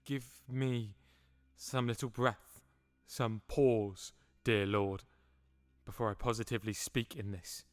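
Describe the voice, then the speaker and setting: An actor playing a proud, calculating duke in a Shakespearean audio drama, who speaks in measured, formal pleas. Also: male voice, fairly low pitch, fairly even intonation, no echo, soft background music.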